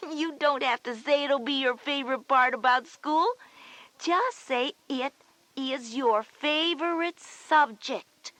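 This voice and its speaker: A schoolgirl older sister, chatty and sure of herself in a children's audio drama. Her voice is high and closely recorded with very little room, and she explains things in a reassuring, big-sisterly way. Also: takes it slowly, a bit bright, dry recording. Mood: reassuring, bossy.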